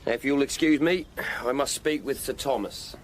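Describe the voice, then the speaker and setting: An actor playing a grim, devout Tudor coroner in a period radio drama. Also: male voice, medium pitch, normal speed, slight background noise, natural voice. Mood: grim, pious.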